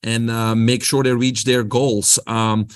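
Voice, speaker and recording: A man's voice: medium pitch, flat delivery, takes it slowly, clear, resonant tone. Belgian-accented man, upbeat coach. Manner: upbeat motivational-speaker delivery. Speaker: guest, health coach. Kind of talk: podcast interview.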